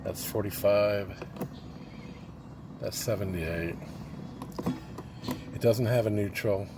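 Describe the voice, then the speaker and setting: A man with a fairly low voice talks through his restored radio phonograph in a low-key, halting way, his sentences often trailing off. The recording carries a steady wash of background noise. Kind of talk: casual show-and-tell demo. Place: near the radio, away from the house. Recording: noisy amateur recording.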